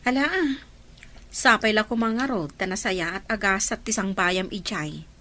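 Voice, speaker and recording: A woman's voice: medium pitch, normal speed, bright, forceful tone, natural voice. A woman acting as a caring, emotional older sister who frets, in an audio drama. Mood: worried, loving. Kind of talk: Ilocano radio-style drama acting. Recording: faint background ambience noise.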